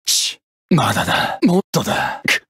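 Husky, brooding gunman. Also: on the low side, wildly swooping pitch, a little slow, a bit bright, dry recording, studio quality, heavily acted. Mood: cold, scornful, then frenzied, intense. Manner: menacing, listless, nihilistic. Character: brooding death-seeking male gunman, scornful, longing for rebirth. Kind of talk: anime-style video game voice acting.

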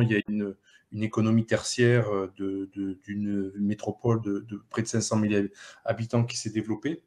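Middle-aged man explaining haltingly on a webinar. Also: mid-range voice, darkish tone. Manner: halting, repeats small words. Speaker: local economic development director, panellist. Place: indoor room, on a video call. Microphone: headset on video call.

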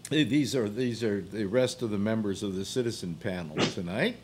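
An older man hosting a casual call-in chat, halting and teasing, often repeating himself. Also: on the low side, breathy edge. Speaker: talk show host. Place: home room with bookshelves, indoors.

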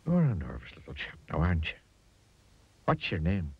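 A man voicing a kindly tramp in a storybook, speaking gently and soothingly. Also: low-pitched, wildly swooping pitch, moderate pace, vocal fry, slightly rough, muffled recording, full character voice. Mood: gentle. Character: kindly, gentle male tramp, calm and reassuring.

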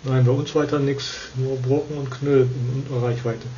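A man's voice: medium pitch, little pitch movement, moderate pace, warm tone. A man giving brief, casual replies as an amateur radio operator. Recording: muffled, noisy lo-fi radio sound.

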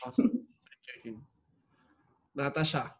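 A man's voice: medium pitch, very animated, normal speed. A man hosting an online art class on a video call, giving short, encouraging prompts. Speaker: class host. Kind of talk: casual moderating on a video call. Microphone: laptop mic on a video call.

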